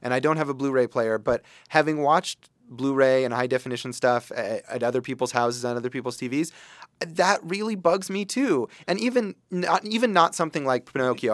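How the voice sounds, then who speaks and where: A man hosting a casual movie review chat, measured and qualifying his opinions as he goes. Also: mid-range voice, dry recording, clean studio sound. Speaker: movie review show host.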